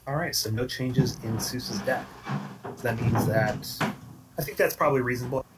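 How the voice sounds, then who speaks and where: A man's voice: mid-range voice, moderate pace. A man giving casual live analysis as commentator of a card game match. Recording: distant mic with clear background noise, clicks.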